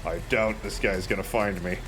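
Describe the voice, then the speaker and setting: A man's voice: fairly low pitch, steady tone, moderate pace, background noise. A man giving casual gameplay commentary as a let's play host.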